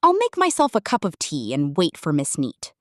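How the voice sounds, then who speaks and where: A woman's voice: sits low, wildly swooping pitch, normal speed, no room sound, studio quality, voice pushed down. Woman playing a cheerful, bumbling storybook man. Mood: bumbling, unbothered. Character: cheerful, hopelessly muddled man, easygoing and absent-minded.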